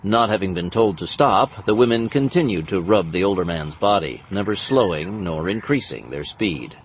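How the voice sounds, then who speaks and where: A man's voice: low voice, lively intonation, moderate pace, slight background noise. A man reading scripted narration for an action thriller audiobook.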